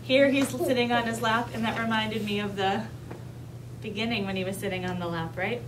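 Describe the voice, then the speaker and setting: Woman, kindergarten teacher, high voice, read-aloud lesson, frequent check-in questions, noisy distant recording. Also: fairly even intonation, fairly quick. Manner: simple instructional phrasing with frequent check-in questions. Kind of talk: interactive read-aloud lesson to young children. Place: kindergarten classroom with children. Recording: distant mic, clearly noisy room ambience.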